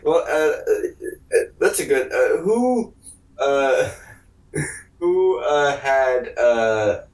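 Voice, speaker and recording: A man co-hosting a podcast in casual, comedic chat, rambling and haltingly searching for words, with plenty of swearing. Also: mid-range voice, animated. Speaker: podcast co-host. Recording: roomy, echoey, distant mic.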